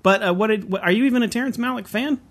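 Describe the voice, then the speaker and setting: Male podcast host, fairly high voice, chatty and enthusiastic, quick to laugh. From Southern California. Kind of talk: casual podcast chat.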